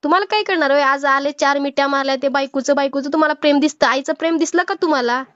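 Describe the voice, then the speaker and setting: High-pitched Marathi woman scolding over phone. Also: fast talker, a bit bright, dry recording. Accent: Marathi, Maharashtra. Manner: scolding, insistent, moralising, rhetorical questions. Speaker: old-age home worker taking a call. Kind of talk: phone counselling conversation, admonishing. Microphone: phone call. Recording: muffled lo-fi phone call recording.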